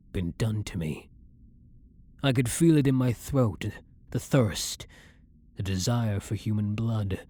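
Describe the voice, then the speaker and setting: A man's voice: mid-range voice, dark tone. A man playing a centuries-old vampire hunter who is himself a vampire, blunt and brooding, in a dramatic ASMR roleplay monologue.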